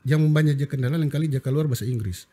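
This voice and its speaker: A man's voice: medium pitch, racing, husky. A man with a Manado accent, talking casually as a guest on a talk show and acting out the people he quotes. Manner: animated, acts out quoted people. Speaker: guest, AI content creator.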